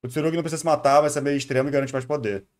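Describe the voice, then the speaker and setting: A man's voice: fairly high pitch, fairly even intonation, very fast. A man with a Brazilian accent giving casual live reaction commentary as a streamer.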